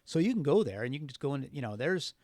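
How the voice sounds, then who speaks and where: A man's voice: medium pitch, big pitch swings, fast talker, a little mellow, no echo, clean studio sound. A man telling stories in loose, casual conversation, unfiltered and freely swearing. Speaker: podcast guest. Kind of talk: casual, rambling conversational storytelling.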